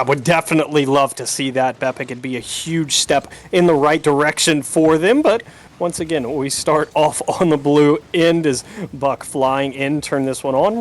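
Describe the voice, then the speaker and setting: A man doing live play-by-play commentary of a video game match, using sportscaster slang. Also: a little high. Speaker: esports commentator, play-by-play caster.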